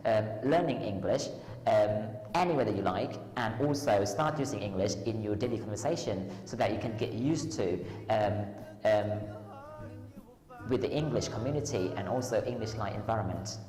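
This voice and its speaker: A woman's voice: a bass voice, moderate pace, a little mellow. A woman from Thailand with a Thai accent, a lecturer and tutor answering interview questions in a hesitant way, with many ums and restarts. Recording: echoey hall with long reverb.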